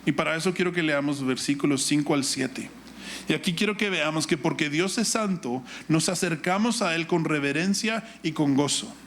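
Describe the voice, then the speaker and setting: A man's voice: on the high side, normal speed, fairly bright tone, a little hiss or hum. A middle-aged man with a Guatemalan Spanish accent, preaching a sermon in an instructive manner with rhetorical questions and repetition. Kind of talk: sermon with Bible reading and personal anecdote.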